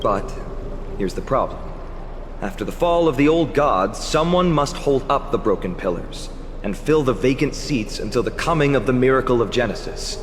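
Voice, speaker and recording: An actor playing a calm, eloquent male hero in a fantasy game, speaking in measured, theatrical storytelling. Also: mid-range voice, noisy background, close to an everyday voice. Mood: solemn, assured. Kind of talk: scripted game dialogue. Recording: long hall reverb, music behind. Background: music.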